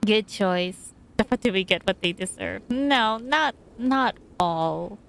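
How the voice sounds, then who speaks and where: A woman's voice: mid-range voice, animated, slow, a bit bright, a little hiss or hum. A woman streaming a game with casual, improvised commentary, musing aloud hesitantly and repeating words as she thinks. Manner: hesitant, musing, trailing repetitions.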